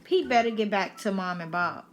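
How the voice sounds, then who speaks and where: A woman's voice: medium pitch, moderate pace, soft background music. A woman reading a children's picture book aloud as a storyteller on her channel.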